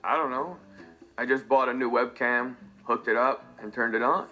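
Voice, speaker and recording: Casting: man as oblivious, harmless sitcom oddball, mid-pitched and plain-spoken, music in background. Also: normal speed, natural voice. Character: clueless, harmless man intruding by webcam. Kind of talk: sitcom acting.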